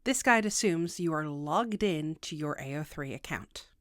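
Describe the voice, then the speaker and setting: A woman's voice: low voice, expressive, normal speed, fairly bright tone. A woman hosting a video tutorial, reading scripted explainer narration in a precise, instructional way with wry asides.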